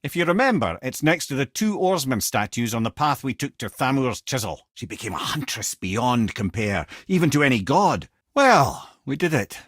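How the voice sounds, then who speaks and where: A man's voice: mid-range voice, moderate pace, hardly any pauses, dry recording, clean studio sound. A man voicing a witty, worldly old sage for a video game, telling tales with a Scottish accent in an eloquent, avuncular way. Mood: wry, conversational, then encouraging. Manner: wry, eloquent, avuncular, expressive. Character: witty old severed talking head, worldly storyteller sage. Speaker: companion and storyteller. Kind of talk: video game voice acting, storytelling.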